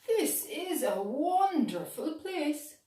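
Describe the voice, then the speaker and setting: Woman voicing an eager, high little lost dog. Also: sing-song, takes it slowly, dark tone, echoey room, far from the mic, exaggerated voice. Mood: wondering, excited.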